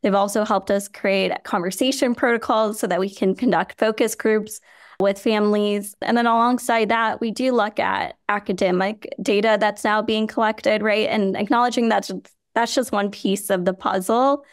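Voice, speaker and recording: A woman from Chicago, raised speaking Hindi and Gujarati, talks as a nonprofit founder in an interview. Her voice is smooth and clear, and the sound is close and dry with no room around it. She speaks earnestly and steadily, leaning on "you know" as she goes. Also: mid-range voice, steady tone, moderate pace, no room sound. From Chicago, USA. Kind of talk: podcast interview answer.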